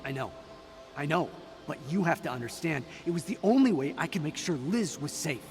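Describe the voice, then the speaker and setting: A man's voice: a little high, expressive, brisk, faint music behind. A man voicing a defensive, earnest young executive turned ally in a video game. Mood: pleading.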